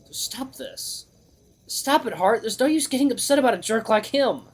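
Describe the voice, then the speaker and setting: Visual novel lead, anxious young man, acted by a man: pitched high, emphatic, stubborn, easily flustered. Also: lively intonation, moderate pace, slightly rough, exaggerated voice. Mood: defensive, upset.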